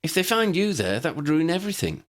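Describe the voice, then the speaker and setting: A man voicing a spirited, forthright young woman reporter in an audiobook reading, with a brisk manner. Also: on the high side, very animated, voice pushed up.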